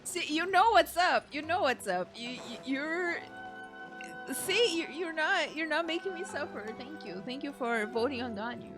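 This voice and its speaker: A woman's voice: high-pitched, animated, moderate pace. A woman streamer giving casual, playfully dramatic game reactions to her chat, often repeating phrases. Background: game music.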